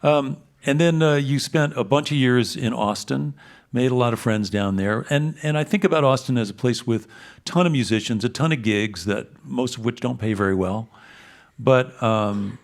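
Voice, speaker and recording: Gravelly radio host guy, chatty, rambly questions, on stage. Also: medium pitch, moderate pace. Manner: friendly, rambling questions with fillers. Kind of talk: on-stage interview. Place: stage in a concert hall.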